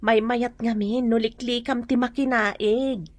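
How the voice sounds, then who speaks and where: A Filipino aunt in a radio drama, concerned and gently admonishing. She has a somewhat high voice and an earnest, questioning way of talking. The recording is very dry. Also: fairly even intonation, a little slow, no room sound. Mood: reproachful.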